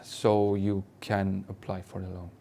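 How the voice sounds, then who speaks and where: A man speaking as an airline pilot and instructor, answering questions in an online Q&A in a hesitant, measured way. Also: low voice, monotone, warm tone.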